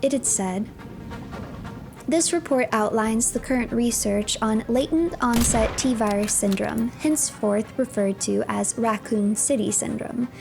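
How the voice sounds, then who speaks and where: A woman narrating a game story as a streamer, reading files aloud in a measured, formal way. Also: on the high side, vocal fry, music in the background.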